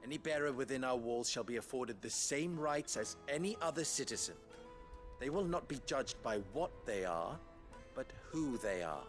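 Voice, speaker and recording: An actor playing an upright, wry town leader in a fantasy game, speaking in formal, eloquent dialogue. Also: male voice, mid-range voice, lively intonation, moderate pace, soft background music, lightly acted. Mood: firm, measured. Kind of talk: dramatic video game dialogue.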